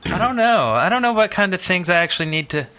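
A man's voice: sits high, sing-song, fast, sharp tone. A man co-hosting a podcast, talking casually and informally, thinking aloud as he goes. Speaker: podcast co-host. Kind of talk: casual gaming podcast chat.

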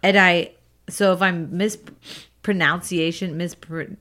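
A woman's voice: fairly low pitch, fairly even intonation, slow pace, pushed and bright. A young woman with a nasal voice, co-hosting a casual podcast chat. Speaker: podcast co-host.

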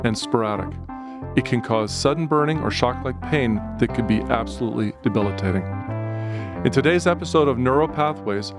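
A man hosting a medical podcast, reading a clear, scripted introduction before interviewing his guest. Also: medium pitch. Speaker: podcast host.

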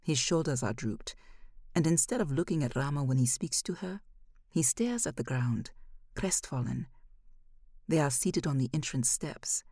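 A woman reading literary fiction as an audiobook narrator, measured, with phrases often falling to a hush. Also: low-pitched, animated, no room sound, studio quality.

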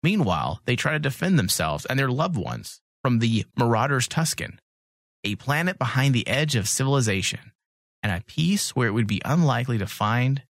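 Male podcast host, highly expressive, sweary and mocking, casual banter, dry close sound. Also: normal speed, no echo.

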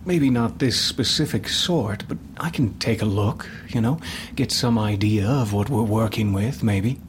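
An uneasy, helpful adventurer from a fantasy audio drama, acted by a man. He offers help hesitantly in a lowish voice at a very slow pace, over faint noise. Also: natural voice. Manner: hesitant, unhurried. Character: uneasy, helpful male fantasy companion who knows curses.

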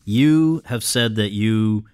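A male interviewer with a somewhat low voice. He builds long, careful questions and drops short replies while his guest talks. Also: takes it slowly, a little mellow, clear, resonant tone.

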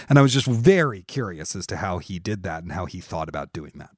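A man's voice: low voice, big pitch swings, fairly quick, dry recording, clean studio sound. A man hosting a conversational podcast, talking informally and thinking aloud in asides. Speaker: podcast host and interviewer.

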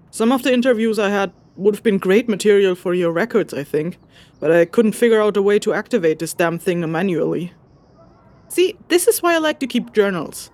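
An actress playing a practical, slightly exasperated scholar-investigator in a fantasy audio drama, speaking in a dry, matter-of-fact manner with hesitant asides. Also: female voice, on the low side, a little mellow, close to an everyday voice. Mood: mildly exasperated. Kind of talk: immersive fantasy audio drama, spoken journal.

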